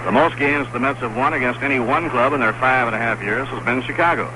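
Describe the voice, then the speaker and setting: A man calling a baseball game on the radio in a steady, fact-filled play-by-play style from a stadium broadcast booth. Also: mid-range voice, fast talker. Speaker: play-by-play announcer. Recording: noisy 1960s radio broadcast.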